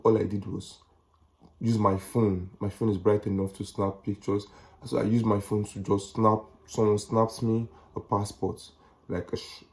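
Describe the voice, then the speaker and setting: A young Nigerian man talks in a relaxed, rambling vlog style with a Nigerian accent. His voice sits lowish and sounds warm and mellow. He circles back over his points and drops in slangy asides. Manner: chatty, repeats himself, slangy asides. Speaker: vlogger. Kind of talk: casual advice vlog.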